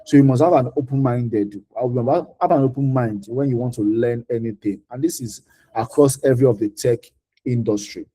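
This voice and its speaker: A man's voice: mid-range voice, warm tone, husky, rough recording. A man with a Nigerian accent giving informal career advice in a mentoring session, repeating key points for emphasis. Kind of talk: informal advice talk answering audience questions.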